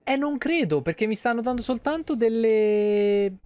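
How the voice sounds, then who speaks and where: A man's voice: very high-pitched, normal speed, darkish tone, clean tone, no echo. A man giving colloquial, rambling game commentary into a close microphone. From Italy. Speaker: live game streamer. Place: small indoor streaming room. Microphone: close desk microphone.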